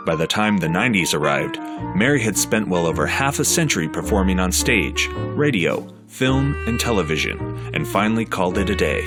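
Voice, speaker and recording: A man giving measured, scripted documentary narration. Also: deep voice. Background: music underneath.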